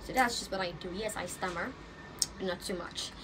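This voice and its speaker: A young Nigerian woman vlogging from an outdoor balcony, talking straight into a phone camera in a loose, chatty way. She stumbles and repeats words now and then. Clear street noise sits behind her voice. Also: mid-range voice, brisk. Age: young adult. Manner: chatty, with stammers and laughing asides. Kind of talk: casual get-to-know-me vlog. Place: outdoors on a balcony above rooftops. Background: street ambience.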